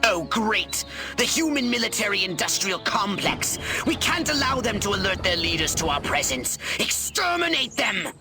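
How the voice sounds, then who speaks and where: An actor playing a pompous, scheming alien commander in a video game, with nasal, precise, exasperated speech. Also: male voice, high-pitched, big pitch swings, normal speed, music in the background. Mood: urgent exasperation. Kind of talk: video game cutscene acting.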